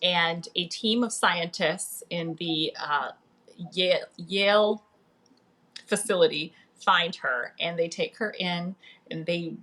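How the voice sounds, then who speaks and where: A woman's voice: fairly low pitch, takes it slowly, pushed and bright. Chatty, playful woman on a livestream. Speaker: author guest on a book live stream. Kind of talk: casual livestream chat.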